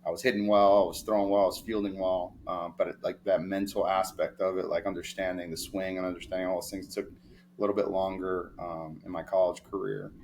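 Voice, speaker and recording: A young man with a deep, fairly flat voice tells stories in a loose, rambling way, full of fillers and restarts. He sounds like a sports agent chatting as an interview guest. A faint hiss sits under the recording. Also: brisk. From New York, of Dominican family. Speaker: baseball player agent, guest. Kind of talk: casual interview storytelling.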